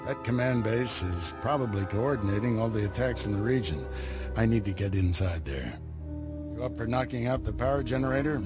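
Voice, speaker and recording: An actor playing a grave older Jedi master who gives orders in a measured, commanding way. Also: male voice, on the low side, expressive, lightly acted. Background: game score music.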